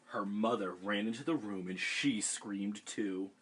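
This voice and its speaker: A man reading a picture book aloud to children over a livestream, with gentle chatty asides. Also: fairly low pitch, measured pace, husky. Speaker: storytime reader for children. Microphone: webcam livestream.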